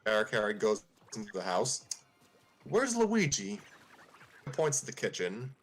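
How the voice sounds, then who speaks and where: A man's voice: on the low side, fairly quick, a bit bright, soft background music. A man narrating a fan script casually, informally breaking off to make side comments. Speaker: narrator and reader.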